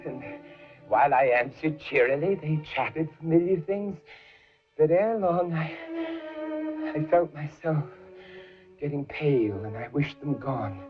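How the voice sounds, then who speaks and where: An actor playing a nervous, mad murderer confessing with cunning glee in a theatrical horror monologue, halting and intense, with stammered repetitions. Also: male voice, mid-range voice, dull audio, natural voice. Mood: uneasy, agitated.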